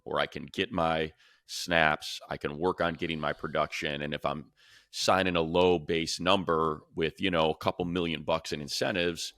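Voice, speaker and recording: A man's voice: very deep voice, fairly even intonation, moderate pace, a bit bright, no room sound, studio quality. An American man co-hosting a sports talk show, explaining things in a casual, conversational way. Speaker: sports talk show host and play-by-play announcer.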